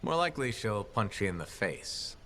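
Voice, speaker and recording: An actor playing a confident, wisecracking freelancer hero in a video game, wry and assured. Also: male voice, on the low side, sing-song, normal speed, a bit bright, slight background noise. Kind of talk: video game protagonist dialogue.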